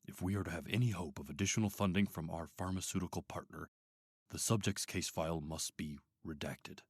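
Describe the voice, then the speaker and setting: Radio drama villain played by a man: middle-aged institute doctor, deep, rough-edged, clinical, calmly manipulative. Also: no room sound, clean studio sound. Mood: cold clinical. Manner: measured, clinical, coaxing. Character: calm, manipulative, sinister male doctor running an institute.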